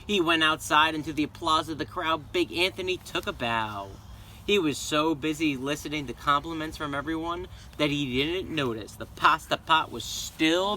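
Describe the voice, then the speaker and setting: A man reading a children's story aloud in an expressive, dramatic way. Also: fairly high pitch, a little hiss or hum. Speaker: storybook reader. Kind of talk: children's read-aloud narration.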